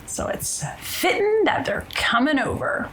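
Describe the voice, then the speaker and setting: A woman host, chatty and bubbly, her voice a little hoarse. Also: high voice, lively intonation, unhurried, a bit bright, a little hiss or hum. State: slightly hoarse from losing her voice. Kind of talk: casual reality show chat and narration.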